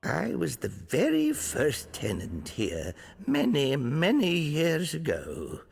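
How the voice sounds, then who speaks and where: An actor playing a cheerful old male prisoner, proud of surviving torture, with a creaky voice and jaunty gallows humour in a video game. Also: on the high side, huge pitch swings, slow, full character voice. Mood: wry, proud. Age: older. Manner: jaunty, darkly humorous. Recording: long reverb, music underneath.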